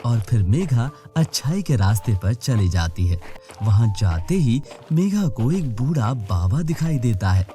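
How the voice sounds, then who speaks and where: Female narrator for children's fables: mellow, warm tone, lively intonation, soft music underneath. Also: very deep voice, unhurried. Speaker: storyteller. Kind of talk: scripted narration of children's moral story.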